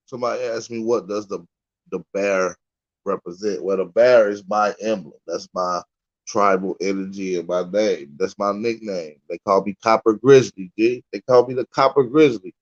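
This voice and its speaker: A man's voice: fairly low pitch, normal speed, lo-fi sound. A man co-hosting a call-in stream, talking crude and mocking about callers. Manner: crude, mocking trash talk. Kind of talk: casual streaming banter.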